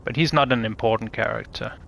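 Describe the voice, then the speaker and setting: A man's voice: lowish voice, fast talker, vocal fry, a bit bright, slight background noise. A man in a casual analytical discussion, speaking tentatively and thinking aloud as an interviewer. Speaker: interviewer researching game voices.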